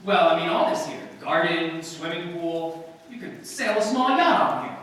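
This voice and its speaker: A man's voice: on the high side, expressive, normal speed, fairly bright tone. A man playing a cynical, tough-talking writer in an old-time radio comedy, with a dry, sardonic delivery. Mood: wry. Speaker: actor in a live radio play. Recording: very echoey hall, distant mic, faint hiss.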